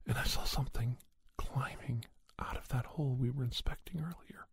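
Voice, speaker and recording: A man telling a spooky first-person story in a soft, breathy ASMR style, often near a whisper, in deliberate short phrases with pauses. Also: mid-range voice, no echo, studio quality. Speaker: podcast storyteller.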